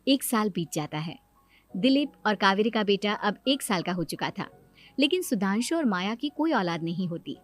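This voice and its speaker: A woman's voice: medium pitch, big pitch swings, moderate pace. A woman narrating a scripted children's story, with music behind her. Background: background music.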